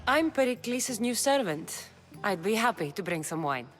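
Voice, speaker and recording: A woman's voice: mid-range voice, very animated, normal speed, faint music behind, lightly acted. An actress playing a tough female mercenary warrior in a game cutscene, blunt and dry in manner. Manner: dry, blunt, matter-of-fact. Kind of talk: video game cutscene acting.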